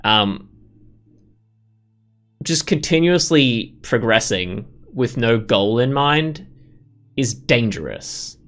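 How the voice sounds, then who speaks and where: Casting: male gaming host, lowish voice, casual, opinionated, thinking aloud as he plays. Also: fairly bright tone. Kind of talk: casual let's play commentary.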